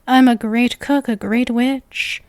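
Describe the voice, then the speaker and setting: A woman's voice: on the high side, fairly even intonation, slow, no echo. A woman playing a sweet, possessive, lovestruck young witch in an ASMR roleplay, coy and teasing as she addresses the listener. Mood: sheepish, boastful. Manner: coy, teasing, sugary with menacing devotion.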